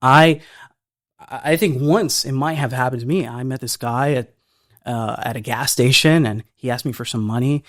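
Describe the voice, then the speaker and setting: A man's voice: mid-range voice, moderate pace, no echo. Guy on a podcast, earnest, keeps restarting his sentences, dry close sound. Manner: earnest, repeats and restarts words often. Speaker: filmmaker guest. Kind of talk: casual podcast conversation.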